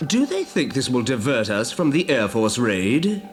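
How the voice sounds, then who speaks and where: A man acts a scheming alien officer in a storybook drama. His pitch swings around a middling centre as he questions with a sardonic edge. Faint music sits behind him. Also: sing-song, a little slow. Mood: puzzled. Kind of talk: dramatised storybook audio acting.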